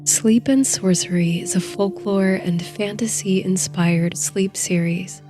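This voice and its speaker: A woman narrating a guided sleep story in a soothing, hushed, unhurried way. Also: medium pitch, steady tone, soft background music.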